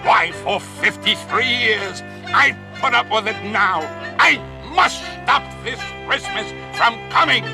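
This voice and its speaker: A man voicing a grumpy, scheming male creature in a storybook reading, with sly cackles and a high-strung, swooping delivery. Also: expressive, slow pace, hardly any pauses, music in the background, full character voice. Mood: fretful.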